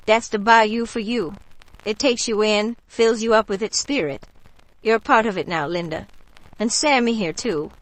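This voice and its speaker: Woman acting bayou local, folksy storyteller, gentle, mystical, unhurried, medium pitch, dry sound, radio drama. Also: dry recording, lightly acted, poor audio. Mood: fond, reminiscing.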